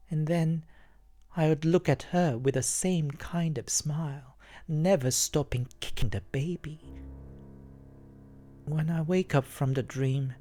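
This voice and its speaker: A man's voice: on the high side, warm tone, voice pushed up. A man voicing a frail, haunted young woman recounting her recurring nightmares in a horror story.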